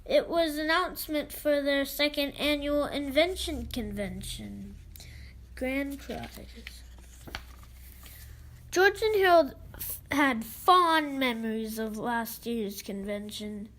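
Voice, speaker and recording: A child reading a children's book aloud expressively, with halting delivery and stumbles over words. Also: high voice, a bit bright, poor audio.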